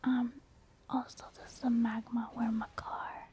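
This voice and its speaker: A woman whispering softly and breathily in a casual, rambling ASMR commentary over video gameplay. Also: a little high, little pitch movement, measured pace, husky. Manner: casual, rambling, gentle explaining. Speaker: gaming ASMR video host.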